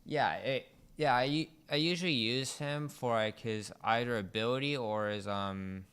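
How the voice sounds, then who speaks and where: A young man co-hosting a casual gaming podcast, hesitant and low-key with dry joking. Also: normal speed, a bit bright, smooth. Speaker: podcast co-host. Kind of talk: casual podcast chat about a video game.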